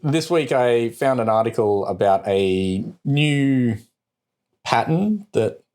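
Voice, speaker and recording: A man co-hosting a tech podcast in casual chat, halting at times, with dry irony. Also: medium pitch, animated, darkish tone. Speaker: co-host of a tech news podcast.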